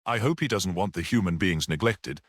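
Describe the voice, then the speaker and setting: A man's voice: deep voice, expressive, moderate pace, fairly bright tone, no room sound, studio quality. A man voicing a curious, insistent young man in a story, probing and a little wry.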